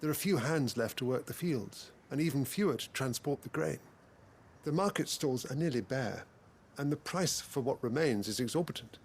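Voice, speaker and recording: An actor playing a dutiful, worried investigator in a fantasy video game, formal and courteous. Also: male voice, medium pitch, animated, normal speed, slight background noise, natural voice. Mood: grim reporting.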